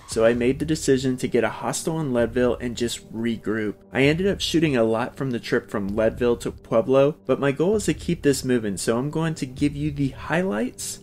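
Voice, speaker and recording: A man's voice: medium pitch, darkish tone. A man in his thirties hosting a vlog, talking and narrating in a casual style. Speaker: vlog host.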